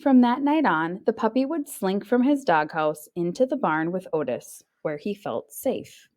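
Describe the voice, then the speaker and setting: A woman narrates a children's storybook with very animated, swooping intonation. Her voice sits at a medium pitch, and she reads expressively like a teacher, playing up the little sound words. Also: a little slow. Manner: expressive, acting out sounds and exclamations. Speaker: teacher reading a picture book aloud.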